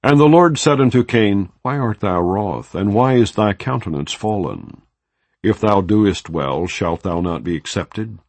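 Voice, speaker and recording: A man giving a measured, solemn reading of scripture in an unhurried narrating style. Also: fairly low pitch, animated, darkish tone, dry recording. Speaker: Bible reader.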